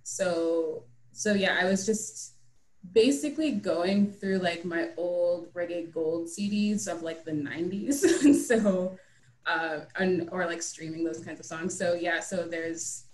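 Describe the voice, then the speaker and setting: A woman's voice: fairly low pitch, slow, a little mellow. A young adult woman, an author chatting casually as a guest on an online book talk. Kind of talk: casual chat. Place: indoor room, on a video call. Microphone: computer mic on a video call. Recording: roomy, echoing video call.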